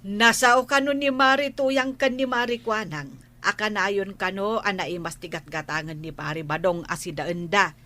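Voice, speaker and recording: A woman's voice: mid-range voice, steady tone, moderate pace, pushed and bright, lightly acted. A woman with an Ilocano accent acting a probing, no-nonsense village woman in a comedy radio drama.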